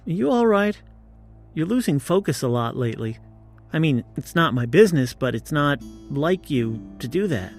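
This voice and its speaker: An actor playing a concerned male office colleague, gentle and tentative, in audio drama dialogue. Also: a little high, very animated, normal speed, faint music behind.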